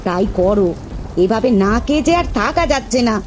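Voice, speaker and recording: A woman's voice: on the high side, lively intonation, fairly quick, background noise, natural voice. A woman voicing an anxious, poor village mother in a folk-tale cartoon, plaintive and complaining.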